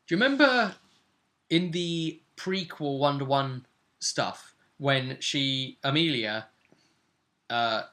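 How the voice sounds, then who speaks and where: Male game master, bright mid-pitched voice, casual session recap, halting and repetitive delivery with emphatic restatements. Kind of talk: casual recap of a tabletop role-play session.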